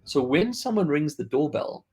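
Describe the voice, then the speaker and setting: A man's voice: fairly high pitch, big pitch swings, moderate pace, dark tone, slightly rough. A man from South Africa with a faded South African accent, hosting a podcast in a chatty, rambling way with frequent restarts. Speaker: podcast host. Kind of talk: casual tech chat.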